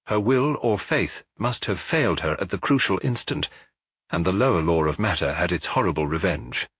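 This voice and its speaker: A man's voice: deep voice, lively intonation, moderate pace, a bit bright, slightly rough, no echo, full character voice. A male narrator playing a self-assured sun-cult prophet in an audiobook detective story, with lofty, declamatory delivery. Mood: solemn, smooth.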